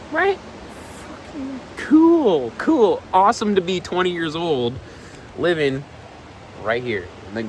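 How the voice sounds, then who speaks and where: A man's voice: mid-range voice, background noise. Rambling twenty-year-old man vlogging. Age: 20s. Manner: rambling, repeats himself, swears. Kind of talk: casual vlog talk. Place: outdoors on a balcony.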